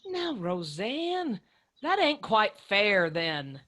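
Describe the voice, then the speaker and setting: A woman's voice: mid-range voice, big pitch swings, very slow, bright, forceful tone, exaggerated voice. A woman playing a stern but caring middle-aged mother in a radio drama, plain-spoken and scolding. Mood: firm concern.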